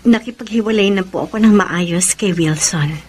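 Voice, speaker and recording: An actress playing a soft-hearted young woman, sincere and emotive, in a Filipino radio romance drama. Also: on the low side, moderate pace, a little hiss or hum, lightly acted. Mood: defensive. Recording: music bed behind the voice.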